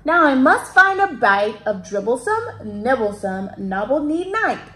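A woman's voice: high-pitched, big pitch swings, unhurried, bright, forceful tone, heavily acted. A woman voicing a hungry, friendly young male dragon in a children's storybook, with playful rhyming speech. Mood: hungry, determined.

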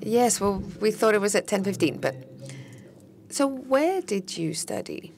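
A woman interpreting a panel discussion live, her voice carrying a gravelly, fry-tinged edge at a middle pitch. She speaks steadily but slightly haltingly, with restarts as she keeps up with each speaker. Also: expressive, darkish tone.

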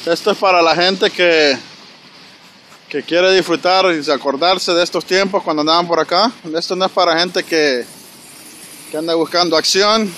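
A man's voice: on the high side, moderate pace, pushed and bright. Chatty man vlogging on a snowy street. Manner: chatty, addresses viewers directly, frequent "¿verdad?". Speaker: vlogger walking and filming. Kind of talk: casual walking vlog narration. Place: snowy city street outdoors. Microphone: handheld phone camera. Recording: outdoor street noise, passing traffic.

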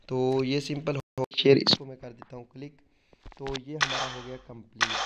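A man's voice: mid-range voice, heavy background noise. A man chatting casually through a game walkthrough, repeating simple steps. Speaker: gaming YouTuber.

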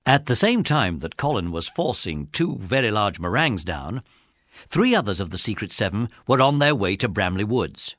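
English guy narrating a kids audiobook, lively pitch, really dry recording. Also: moderate pace, dry recording. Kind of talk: scripted children's story narration.

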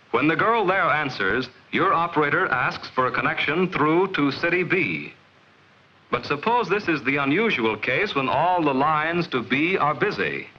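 An American man reading scripted 1950s promotional film narration in a measured, explanatory, clearly enunciated way. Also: a little high, slight background noise. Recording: dull vintage film soundtrack.

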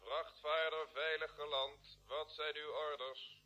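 An actor playing a confused polar base radio operator, making formal calls over a radio link in a vintage radio drama. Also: male voice, a little high, flat delivery, a little slow, crowd noise, low-quality recording. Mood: businesslike. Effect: radio transmission.

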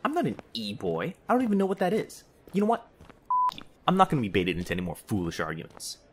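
A man's voice: on the high side, huge pitch swings, quick pace, a bit bright, slight background noise. A man playing a grumpy, easily flustered vampire in a comedy audio roleplay, sarcastic and huffy in manner. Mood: indignant, flustered. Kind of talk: comedy ASMR roleplay acting.

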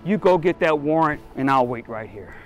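A man playing a middle-aged police captain, calm, shrewd and skeptical, in a scripted short drama. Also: on the high side, lo-fi sound, soft background music, close to an everyday voice. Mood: wary, skeptical. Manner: measured, skeptical. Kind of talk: scripted short-film acting. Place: outdoors in a parking lot.

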